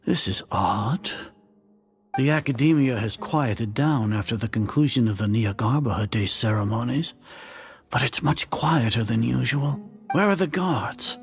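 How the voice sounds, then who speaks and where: Man playing a gravelly, imperious sage. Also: mid-range voice, a little slow, faint music behind, natural voice. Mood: irritated, commanding. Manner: commanding, theatrical, brooding. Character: imperious male Grand Sage, suspicious, increasingly unnerved. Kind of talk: dramatic video game voice acting.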